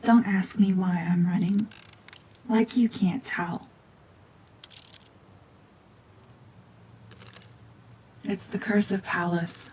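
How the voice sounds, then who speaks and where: A woman voicing a cold, mean renegade gorgon witch in a hushed, half-whispered ASMR drama, domineering and sardonic. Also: mid-range voice, fairly even intonation, measured pace, a little hiss or hum, close to an everyday voice. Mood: guarded, grim.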